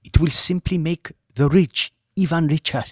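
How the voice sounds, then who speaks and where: A man's voice: on the high side, fairly even intonation, moderate pace, dry recording, clean studio sound, voice pushed up, close to an everyday voice. A man voicing a proud, suspicious Nigerian woman activist who makes indignant, emphatic declarations in an audiobook. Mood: indignant, defiant.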